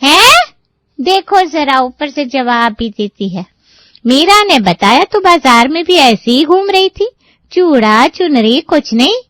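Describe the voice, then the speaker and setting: Lady doing a bossy old indian-cartoon style auntie, really high voice, nags and exclaims, dragging words out. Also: very animated, a bit bright, no room sound, heavily acted. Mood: disapproving. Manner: exclaiming, nagging, drawn-out emphatic delivery. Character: bossy, scolding elderly grandmother-in-law and aunts. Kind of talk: Hindi cartoon moral story voice acting.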